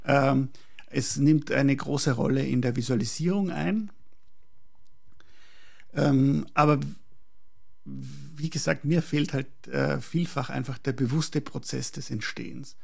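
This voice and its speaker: This is a man with a very creaky, husky voice. He sits at an ordinary male pitch and talks reflectively as an interview guest. He chooses his words deliberately, often pausing to search for the next one. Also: steady tone. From Prague, Czechoslovakia, later schooled in Austria.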